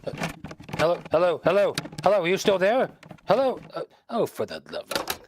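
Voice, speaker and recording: A man's voice: high voice, sing-song, normal speed, background noise. A man playing a dry, wisecracking, reluctant detective husband in a 1930s-style radio drama, wry and teasing. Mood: irritated.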